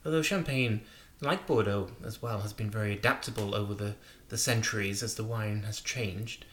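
Low-voiced man in an echoey room. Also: a bit bright, a little hiss or hum. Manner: measured, explanatory, enthusiastic about wine. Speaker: wine show co-host. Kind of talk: conversational wine news discussion.

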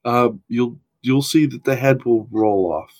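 A man's voice: medium pitch, measured pace, darkish tone. Man running a tabletop game. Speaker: game master.

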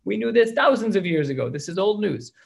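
A man's voice: high-pitched, expressive, brisk, vocal fry, breathy edge. A man teaching a class on a religious text, reading passages aloud and explaining them in casual English. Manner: reads Hebrew and Aramaic, then explains it in plain English. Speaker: Talmud teacher.

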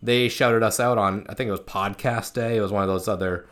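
Male podcast co-host, low voice, informal and self-deprecating, loose conversational delivery. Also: steady tone, brisk, fairly bright tone. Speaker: co-host of a horror attraction fan podcast. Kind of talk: casual chat.

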